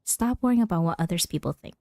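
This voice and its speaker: A woman's voice: on the low side, expressive, fast, warm tone, dry recording. A woman voicing a breezy, encouraging young male bard in a video game, easygoing and playful.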